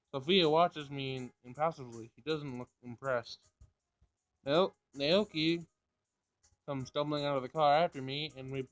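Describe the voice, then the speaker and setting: A man's voice: mid-range voice, clean tone. A man reading visual novel narration aloud, sometimes stumbling over the text and rereading it.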